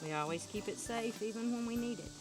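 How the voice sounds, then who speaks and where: Higher-voiced woman explaining things on a home video. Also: fast, over music. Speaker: mother on a family outing. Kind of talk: casual talk on a home video. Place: outdoors by a creek.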